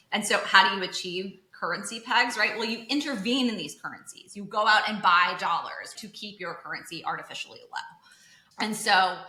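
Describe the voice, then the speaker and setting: Bright-voiced chatty woman. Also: mid-range voice, echoey room. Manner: chatty, lots of "like" and asides. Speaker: podcast host. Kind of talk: casual explanatory chat.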